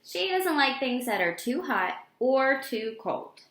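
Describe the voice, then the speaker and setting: A woman's voice: high voice, sing-song, slow pace, fairly bright tone. A woman teacher reading a picture book aloud to young children, expressively and with simple explanatory asides. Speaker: elementary school teacher. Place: indoor room with echo. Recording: echoey room.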